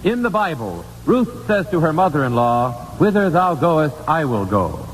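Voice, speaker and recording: A man's voice: fairly high pitch, big pitch swings, moderate pace. A man hosting a 1950s live radio quiz, chatty yet formal and courteous in his delivery. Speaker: radio quiz show host. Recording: old radio broadcast, long reverb, faint noise.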